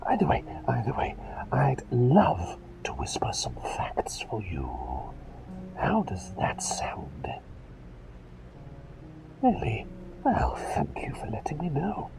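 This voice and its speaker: A man performing a whispered ASMR roleplay as a gentle, eccentric plague doctor, with courteous old-fashioned phrasing and a dithering, repetitive way of talking. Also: mid-range voice, huge pitch swings, slow pace, creaky, pauses often, rough recording. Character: gentle, eccentric, absent-minded male plague doctor, polite and chatty.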